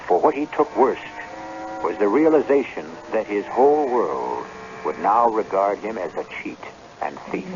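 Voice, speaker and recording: A man hosting and narrating a 1970s radio drama from a script, with a dramatic, measured storyteller's delivery. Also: lowish voice. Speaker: host and narrator. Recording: dull, noisy old radio broadcast.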